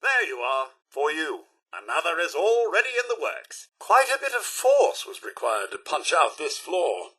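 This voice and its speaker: An actor playing a prim, courteous robot butler in a video game, with a British accent and a formal, theatrical, dryly witty manner. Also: male voice, on the high side, big pitch swings, normal speed, sharp tone, slightly rough, full character voice. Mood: pleased, polite, then wry dismay. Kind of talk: video game character voice lines.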